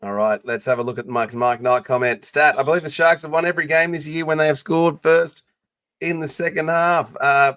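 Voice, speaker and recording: A man's voice: fairly high pitch, fairly quick, fairly bright tone. Upbeat Australian podcast host guy. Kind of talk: casual sports podcast chat.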